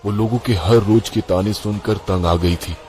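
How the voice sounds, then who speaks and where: Low-voiced man narrating a horror story. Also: fairly even intonation, moderate pace, warm tone, soft background music. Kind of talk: dramatic first-person horror narration.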